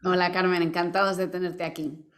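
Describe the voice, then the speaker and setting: A woman's voice: lowish voice, level tone, fairly quick. A woman co-hosting an informal interview in an encouraging, affirming conversational way. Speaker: interviewer, co-host.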